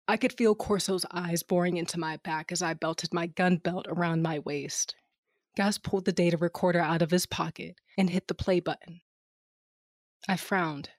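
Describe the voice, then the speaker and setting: A woman's voice: fairly low pitch, no room sound, clean studio sound. A woman playing a brash, cynical smuggler captain, narrating an audio drama in a wry, flippant first person.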